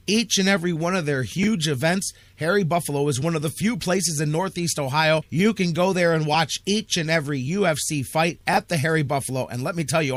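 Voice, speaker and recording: A man's voice: fairly high pitch, a bit bright, no echo. An American man hosting sports talk radio, reading promos with an emphatic, salesman-like delivery. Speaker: sports talk show host.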